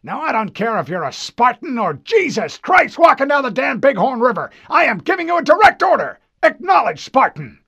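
A man's voice: extremely high pitch, sing-song, normal speed, gravelly, breathy edge, pitched above the performer's own voice, full character voice. A man voicing a barking vice admiral. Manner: barking orders, raised and forceful. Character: gruff older male vice admiral, imperious and impatient.

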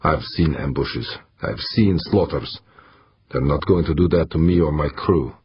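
A man voicing a ruthless, paranoid mercenary ship commander in an audiobook, hard, raspy and commanding. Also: rumbling low, a little slow, highs cut off, heavily acted. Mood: raging contempt.

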